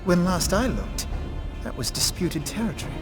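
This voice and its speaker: Animated, earnest young fantasy envoy. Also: male voice, high voice, normal speed, over music. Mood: puzzled, courteous. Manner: courteous, formal, inquiring. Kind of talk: scripted video game drama. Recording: long hall-like reverb.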